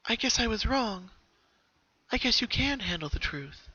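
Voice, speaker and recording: A man voicing a ghostly young filly from a cartoon, speaking in a measured, solemn, ominous way. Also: high voice, animated, pushed and bright, breathy edge, highs cut off, pitched above the performer's own voice, exaggerated voice. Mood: ominous calm.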